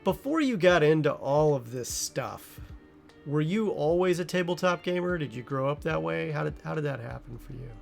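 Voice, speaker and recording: A man's voice: on the high side, expressive, normal speed, creaky, soft background music. A man hosting a casual interview, loose and full of fillers as he asks questions. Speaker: show host. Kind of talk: casual chat.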